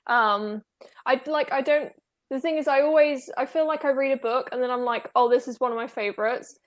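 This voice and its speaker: A woman's voice: high voice, fairly quick. A woman hosting an online book club discussion, chatting casually with lots of fillers and restarts. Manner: chatty, rambling with many fillers. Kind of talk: casual video call chat.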